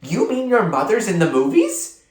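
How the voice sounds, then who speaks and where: Narrator playing curious, friendly young girl for a storybook. Higher, plain-spoken, eager, roomy sound. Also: huge pitch swings, normal speed, darkish tone. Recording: roomy echo.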